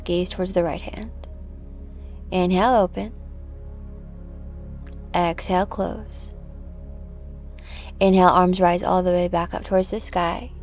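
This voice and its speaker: A woman teaching a yoga class, guiding movement and breath in a gentle, encouraging manner over music. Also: medium pitch, gravelly. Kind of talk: guided yoga class with meditative cues.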